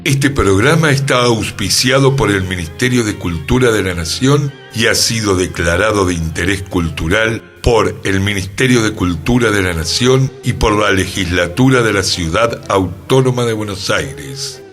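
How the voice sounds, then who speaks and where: A man reads a formal sponsor announcement in a measured announcer style. His voice sits on the low side, and clear music runs underneath him. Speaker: radio co-host. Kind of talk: program intro and sponsor announcement.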